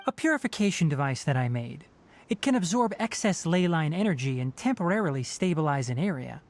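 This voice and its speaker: Young scholar-scientist, composed, clinical, formal lecturing delivery, widely moving pitch, video game dialogue. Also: sing-song, fairly quick. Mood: focused, analytical.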